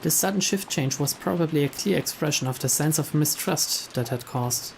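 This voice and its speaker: A man's voice: a little high, moderate pace, vocal fry. Man reading visual novel narration aloud. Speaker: narrator, game playthrough host. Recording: faint rain noise behind.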